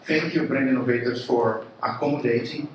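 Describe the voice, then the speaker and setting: A man with a Brazilian Portuguese accent gives a keynote at a live event, casual and animated, stumbling over fillers and restarting sentences. His mid-pitched voice is picked up from a distance and rings around a very echoey room, with faint noise underneath. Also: normal speed. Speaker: conference speaker, marketing chief. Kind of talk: keynote presentation.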